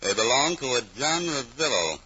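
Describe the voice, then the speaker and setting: An actor playing a weary, gruff homicide inspector, terse and dry, in old radio mystery style. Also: male voice, mid-range voice, sing-song, moderate pace, sharp tone, highs cut off, full character voice. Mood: dry, businesslike. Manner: terse, dry, matter-of-fact.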